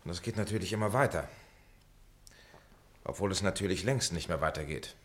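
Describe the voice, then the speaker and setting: A man's voice: sits low, lively intonation, fairly quick. A man acting a bitter, self-weary middle-aged former pupil in a radio play, with a dry, sardonic, brooding delivery. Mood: wry, weary.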